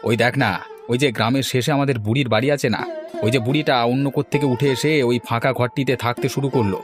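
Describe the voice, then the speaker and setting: A man's voice: mid-range voice, steady tone, fast talker, music in the background, natural voice. A man voicing a weary, resentful married farmer in a village cartoon, grumbling about his hard lot. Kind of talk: cartoon voice acting.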